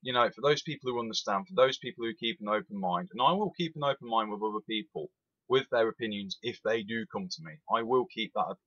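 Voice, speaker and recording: Ranting young man, bright edge. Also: lowish voice, fast talker, slightly rough, highs cut off. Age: 20s. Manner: animated, emphatic, repeats itself. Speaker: rail sim reviewer. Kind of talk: opinionated vlog rant.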